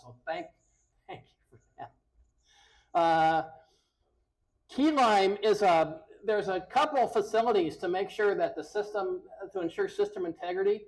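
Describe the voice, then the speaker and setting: Loud middle-aged man giving a tech talk. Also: high voice, rough recording. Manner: loud, talks close to the mic, many restarts. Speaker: presenter at a user group meeting. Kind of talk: casual technical presentation. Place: meeting room. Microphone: handheld mic held close.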